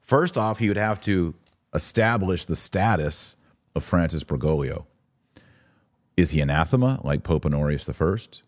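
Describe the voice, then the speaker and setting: A man's voice: low voice, lively intonation, moderate pace, no echo, studio quality. A man hosting a live podcast, reading listener comments and giving informal, opinionated, emphatic commentary. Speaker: podcast host.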